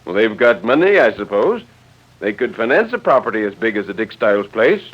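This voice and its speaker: An actor playing an assured, influential gentleman, polite and pragmatic, in 1940s radio drama style. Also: fairly low pitch, big pitch swings, brisk, husky. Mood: assured, businesslike. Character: assured, influential, businesslike gentleman taking charge. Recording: dull old radio recording.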